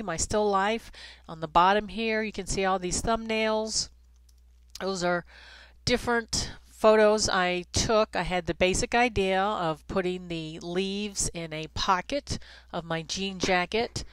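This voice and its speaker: A middle-aged woman with a stuffy, congested nose giving a conversational photography software tutorial, with frequent ums and restarts. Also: on the low side, clean tone, no room sound. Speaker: photography instructor. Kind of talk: screen-recorded software tutorial. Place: Kansas City, Missouri, USA.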